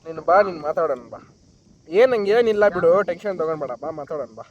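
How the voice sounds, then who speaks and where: A man's voice: on the high side, animated, quick pace, a little mellow. A young man making a casual prank phone call, evasive and repeating himself. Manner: evasive, repetitive. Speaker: prank caller.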